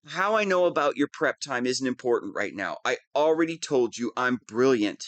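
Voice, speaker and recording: A man's voice: mid-range voice, expressive, moderate pace, fairly bright tone, dry recording, natural voice. Man playing a whiny, swooping wannabe supervillain. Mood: defensive, insistent. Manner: whiny, pompous, petulant, easily flustered. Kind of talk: scripted comedic audio roleplay.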